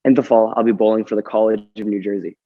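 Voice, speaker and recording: A young man in his late teens with a slightly raspy voice gives a formal, polite welcome speech as a student team captain in a school gym. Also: lowish voice, steady tone, fast talker, dry recording, narrow, tinny audio.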